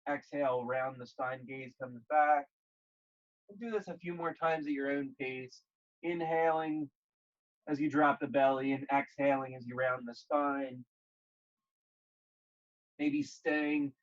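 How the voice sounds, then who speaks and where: Man, yoga teacher, gentle measured instruction, mid-range pitch, unhurried pauses, muffled lo-fi sound. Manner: gentle, calm, measured short phrases with pauses. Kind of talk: guided yoga class instruction.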